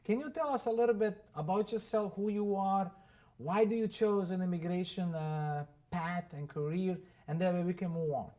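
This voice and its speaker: A male podcast host speaks in a somewhat high voice. He is enthusiastic and emphatic and repeats phrases to drive home his points. He introduces the guest and questions him. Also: moderate pace, mellow. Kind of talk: podcast interview hosting.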